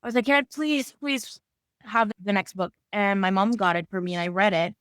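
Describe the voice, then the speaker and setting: A woman's voice: medium pitch, fairly quick, fairly bright tone, clear, resonant tone, no room sound. Venezuelan woman in her 30s, spanish is her first language, chatty storyteller, dry mic. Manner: friendly, storytelling, uneven pace. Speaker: guest author. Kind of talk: casual interview chat.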